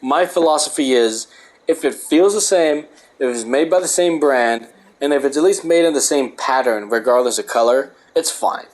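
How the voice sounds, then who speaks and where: Young adult man, mid-range voice going hoarse, reads aloud then rants off-script with plenty of swearing. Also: medium pitch, normal speed, a little mellow. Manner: rambling, profane, ranting. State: losing his voice. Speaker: host. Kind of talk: reading a book aloud with casual commentary.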